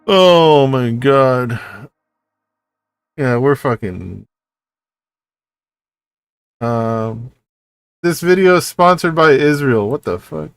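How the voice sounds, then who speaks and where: A man's voice: mid-range voice, lively intonation, very slow, vocal fry, pauses often, clean tone, no echo, soft background music. A man doing casual live reaction commentary as a streamer, sweary and incredulous.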